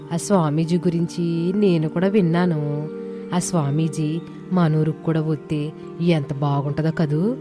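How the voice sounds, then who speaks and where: A woman voicing earnest, trusting village women in an animated moral story. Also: deep voice. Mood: curious. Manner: earnest, deferential. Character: troubled, trusting village women seeking a holy man's help.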